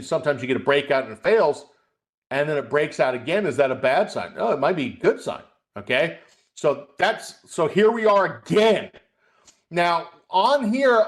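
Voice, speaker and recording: A man hosting a live trading webcast as a coach, talking through market charts in a conversational, folksy way, addressing viewers directly and often checking in with a short tag question. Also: fairly high pitch. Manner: conversational, repeats "okay?" as a check.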